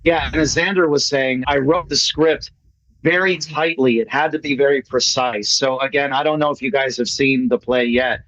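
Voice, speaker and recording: A man's voice: mid-range voice, steady tone, moderate pace, a bit bright, no room sound. A man speaking casually as a guest playwright and actor in an online meeting. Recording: dull lo-fi video call audio.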